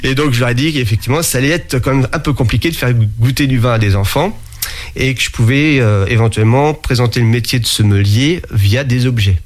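A man's voice: mid-range voice, fairly quick. A man speaking as a sommelier in an interview, talking casually and hesitantly with many fillers and restarts. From France. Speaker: interviewee, sommelier and museum founder.

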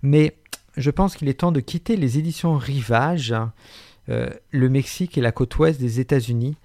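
A man's voice: medium pitch, moderate pace, creaky, a little mellow, no room sound. Dry-voiced man presenting a radio book column. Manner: articulate, wry, with frequent hesitations. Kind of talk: radio literary chronicle, partly read.

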